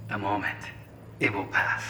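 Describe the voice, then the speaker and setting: A man's voice: on the low side, level tone, normal speed, pushed and bright, roomy sound, big-room sound, slight background noise. A man playing a cold, imperious corporate heir in a cyberpunk game, speaking in terse, formal commands. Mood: curt, tense. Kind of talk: dramatic video game acting.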